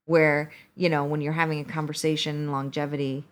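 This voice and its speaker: Woman, first language Albanian, lowish voice, relaxed and hesitant podcast co-host. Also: fairly quick. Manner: relaxed, hesitant, many fillers. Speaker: podcast co-host reading listener questions. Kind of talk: casual chat.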